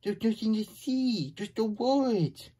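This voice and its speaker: A man voicing a childlike, fretful and grumbly plush hedgehog who hosts a puppet story time, stammering through exasperated asides with a husky voice. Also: sits high, lively intonation, slow pace, warm tone, full character voice, rough recording. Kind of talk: puppet read-aloud with chatty asides.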